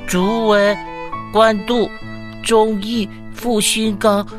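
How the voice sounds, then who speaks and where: A woman's voice: mid-range voice, flat delivery, drawn-out words. A woman playing a blunt, sensitive schoolboy in a children's radio play, with a Taiwanese Mandarin accent and clipped phrases. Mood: matter-of-fact. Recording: music behind the voice.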